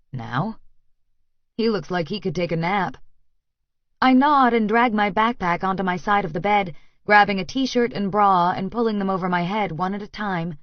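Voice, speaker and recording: A woman's voice: mid-range voice, dry recording, muffled recording. A woman reading first-person romance fiction as an audiobook narrator, in a scripted storytelling style.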